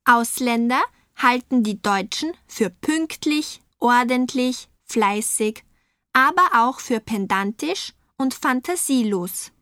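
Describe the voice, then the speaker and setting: A woman with a fairly high voice interviews guests at an unhurried pace, spelling out every word for learners. Her voice is recorded dry and close, with no room sound. It has the feel of a scripted course recording. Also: lively intonation, a bit bright, no room sound. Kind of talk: scripted language-course dialogue. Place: studio.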